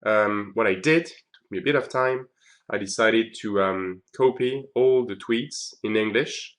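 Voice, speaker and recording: A man giving a chatty conference recap on his video blog, with many ums and uhs. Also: on the low side. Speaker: video blogger.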